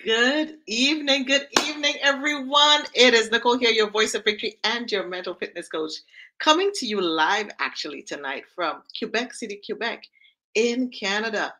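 A woman's voice: a little high, moderate pace, pushed and bright. A middle-aged woman with a slight Trinidadian accent, hosting an interview show in an enthusiastic, encouraging way over a video call. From Trinidad and Tobago heritage. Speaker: talk show host and mental fitness coach. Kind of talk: warm upbeat interview hosting. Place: home room on a video call.

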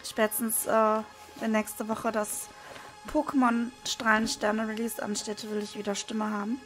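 A woman with a hoarse voice, casually commenting as she unboxes trading cards at a desk. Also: fairly high pitch, measured pace, soft background music, poor audio. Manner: relaxed, with frequent hesitation fillers. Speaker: card unboxing video host. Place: indoors at a desk, hands over table. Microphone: small camera-mounted microphone.

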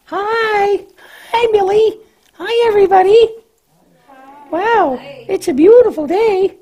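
A woman's voice: very high-pitched, takes it slowly, dark tone. A woman voicing a cheerful, naive young lamb in a children's church puppet show, hesitant and questioning in a childlike way.

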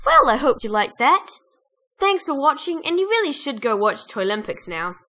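A woman's voice: sits high, very animated, normal speed, sharp tone. A woman giving scripted storybook-style narration of a cartoon adventure. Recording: music behind the voice.

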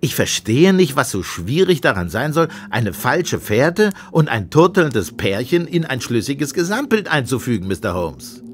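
A man's voice: medium pitch, sing-song, a little slow, hardly any pauses. An actor playing a sarcastic, combative male police inspector in a German radio play. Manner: sarcastic, indignant.

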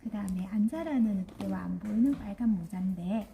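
A woman working with a reading program, gently quizzing a child about books and encouraging her. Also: mid-range voice, brisk, creaky, a little hiss or hum. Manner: gentle, encouraging, soft-spoken.

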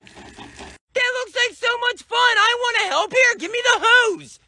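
Woman acting squeaky, excitable little boy. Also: measured pace, exaggerated voice. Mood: excited. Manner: whiny, exclamatory. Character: excitable tattling little boy, youngest brother. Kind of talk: family comedy skit acting.

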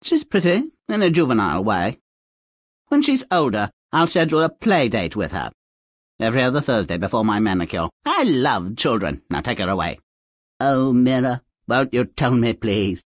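An actor voicing a vain, cruel, haughty evil queen with a husky voice in a fairy-tale video game. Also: medium pitch, big pitch swings, moderate pace, dry recording, studio quality. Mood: vain, dismissive. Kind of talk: video game character acting.

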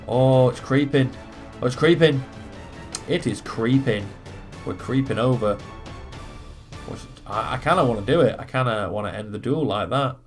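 A man hosts a casual gaming video, commentating on his own play. He swears freely and talks each move through as he goes. His voice is slightly low in pitch, and game music plays behind him. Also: moderate pace, long pauses.

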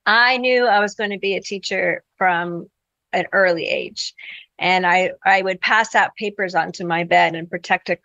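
A woman's voice: mid-range voice, gravelly, fairly bright tone, dry recording, muffled recording. Earnest middle-aged woman teacher, dry sound. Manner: earnest, thoughtful, with fillers. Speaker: middle school teacher, guest. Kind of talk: podcast interview. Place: classroom.

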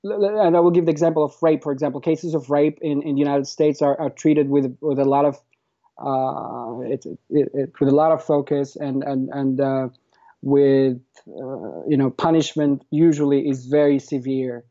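A man in his thirties with a Moroccan accent, answering in a conversational interview, explaining haltingly and repeating words as he goes. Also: mid-range voice, fairly even intonation, dark tone, muffled recording. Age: 30s. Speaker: podcast guest, activist.

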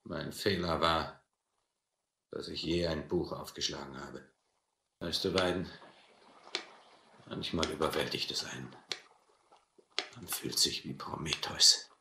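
An actor playing a brutal, proud, cynical sea captain who taunts and philosophizes in a German radio adventure play. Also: male voice, a bass voice, fairly even intonation, vocal fry, echoey room, background noise, heavily acted. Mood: bitter, brooding. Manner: mocking, slow deliberate taunting.

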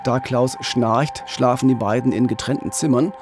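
A man's voice: medium pitch, steady tone, moderate pace, music in the background. A middle-aged man hosting a true-crime podcast, telling the story conversationally into a studio microphone. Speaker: podcast host. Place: radio studio. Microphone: studio microphone up close with pop filter.

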